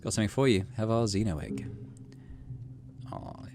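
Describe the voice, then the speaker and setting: A man's voice: lowish voice, expressive, moderate pace, a little mellow, a little hiss or hum. A man voicing a breezy, offhand teenage girl character from a visual novel game.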